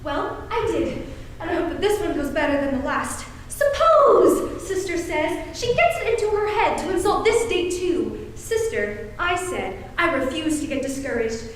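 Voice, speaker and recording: A very high-pitched, fussy genteel aunt played by a woman, chatty and insistent in a theatrical stage manner. She sounds far from the microphone in a heavily echoing space. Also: animated, moderate pace. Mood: exasperated, then fretful, insistent. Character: fussy, nagging, genteel aunt and exasperated wife. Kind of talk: amateur stage play acting. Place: stage of a school performance space. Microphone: distant camera microphone. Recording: very echoey, distant stage recording with noise.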